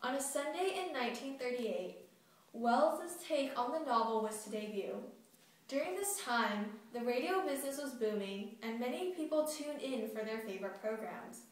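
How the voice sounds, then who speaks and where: A woman narrating a scripted newscast. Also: a little high. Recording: very echoey, distant mic.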